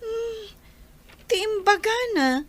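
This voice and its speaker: A woman's voice: very high-pitched, expressive, slow, slight background noise, heavily acted. A woman acting a chatty, concerned friend in an Ilocano radio drama. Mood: curious.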